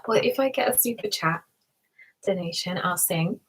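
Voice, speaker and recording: A woman hosting an ASMR live stream, chatting to listeners in a hushed, soft voice that often drops into a whisper. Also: mid-range voice, normal speed, breathy edge, rough recording. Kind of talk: ASMR, soft whispering and soft talk with mouth sounds.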